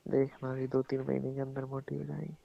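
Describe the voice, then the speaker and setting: A man's voice: medium pitch, completely flat delivery, brisk, creaky. A young man in a casual private phone chat, talking in a teasing way with short questions. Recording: dull lo-fi phone call recording.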